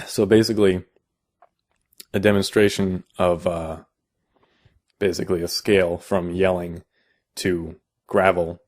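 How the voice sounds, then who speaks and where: A young man with a deep voice, explaining casually in a how-to video, halting with many fillers and restarts. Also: flat delivery. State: out of vocal shape. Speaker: vocal tutorial maker.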